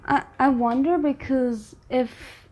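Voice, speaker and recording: A woman's voice: sits high, steady tone, slow, darkish tone, slight background noise. A woman giving casual reaction commentary as a host, musing and thinking aloud. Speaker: host of a drama reaction channel.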